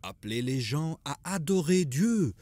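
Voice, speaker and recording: Male cartoon narrator, dark mellow tone, unhurried, very dry recording. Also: a little high, very animated, no room sound. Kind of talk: scripted religious cartoon storytelling narration.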